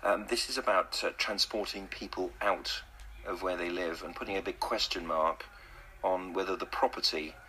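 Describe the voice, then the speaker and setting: A man's voice: sits low, fairly even intonation, moderate pace, pushed and bright, a little hiss or hum, low-quality recording. A man hosting a radio discussion, talking emphatically and rhetorically, with plenty of ums and uhs. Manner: emphatic, rhetorical, with many fillers. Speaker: radio host.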